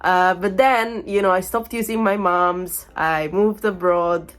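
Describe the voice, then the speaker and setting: A woman's voice: fairly low pitch, fairly even intonation, a little slow. Chatty woman in her thirties, recovering from a cold. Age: 30s. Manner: chatty, rambling, lots of fillers and small laughs. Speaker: vlogger. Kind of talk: casual unboxing chat.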